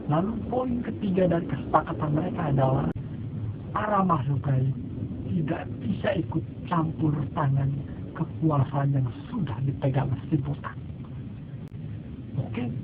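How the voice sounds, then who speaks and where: A man narrating a satirical fable in an ironic, mock-solemn storytelling manner, with dry asides. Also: fairly high pitch. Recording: tinny lo-fi phone-like sound with faint noise.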